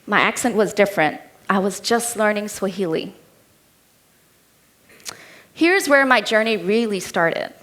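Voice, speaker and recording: A woman's voice: mid-range voice, lively intonation, measured pace, long pauses, fairly bright tone. A woman in her thirties with an Indian accent, giving a keynote talk as a personal story told in a candid, lightly humorous way. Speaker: keynote speaker.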